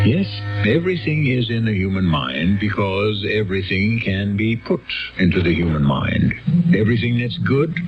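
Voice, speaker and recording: A man narrates a vintage radio drama with slow, theatrical weight. His pitch swings expressively, with music underneath and the narrow sound of an old broadcast recording. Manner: portentous, theatrical.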